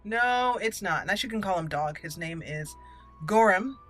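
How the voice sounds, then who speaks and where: A woman's voice: medium pitch, lively intonation, normal speed, a bit bright. A woman giving casual, playful let's play commentary on a game, thinking aloud as she goes. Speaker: gaming channel host and player. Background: game music.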